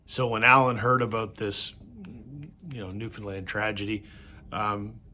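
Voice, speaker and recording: Hoarse man with a bright voice. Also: fairly low pitch, steady tone, a little slow, a little hiss or hum. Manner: conversational storytelling with frequent fillers. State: hoarse from a cold. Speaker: host of a vinyl record channel. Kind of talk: casual talk to camera.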